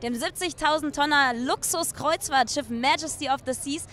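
A young woman with a German accent, interviewing people as a festival TV reporter on a ship's deck, chatty and quick to laugh. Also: high voice, a bit bright, slight background noise. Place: outdoor deck of a cruise ship. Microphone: handheld mic.

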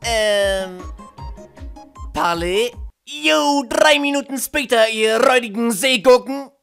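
A man's voice: extremely high pitch, slow, gravelly, a bit bright, faint music behind, heavily acted. A man voicing a nervous, whiny young male hedgehog in a cartoon fandub, flustered and dramatic. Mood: flustered, cheeky. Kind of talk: German fandub cartoon acting.

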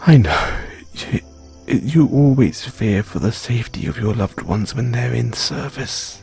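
A man performing an intimate comfort roleplay as a gentle boyfriend consoling his grieving partner, speaking in a soothing, tender, hushed way. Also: mid-range voice, lively intonation, measured pace, vocal fry, soft background music.

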